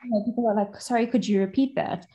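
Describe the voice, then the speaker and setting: A woman's voice: mid-range voice, fairly even intonation, quick pace, background noise. A woman interviewing a guest in a casual chat, thinking her points through aloud.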